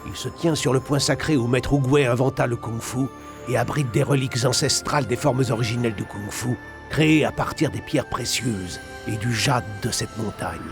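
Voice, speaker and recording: Man playing a gravelly, stern old master. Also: mid-range voice, natural voice. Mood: solemn. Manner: solemn, commanding. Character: stern old male kung-fu master, small wise animal mentor. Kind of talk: dubbed video game acting. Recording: prominent music behind the voice.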